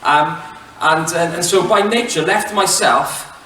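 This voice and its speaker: A Welsh man in middle age talks to an audience in a relaxed, joking way, his voice a little high for a man. He stops and starts with many fillers. The sound is roomy and heard from a distance, with a faint hum behind him. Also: measured pace. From Wales. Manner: chatty, self-mocking, many fillers and restarts. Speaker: head teacher giving a talk. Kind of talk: relaxed public talk with jokes to the audience. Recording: echoey hall, mic from far off.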